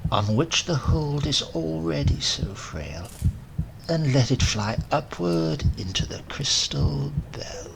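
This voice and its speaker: A man voicing an exalted, ruthless male scientist in a horror story, with slow, drawn-out, imperious delivery. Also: on the high side, animated, creaky, slight background noise, heavily acted, poor audio. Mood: tender, fanatical.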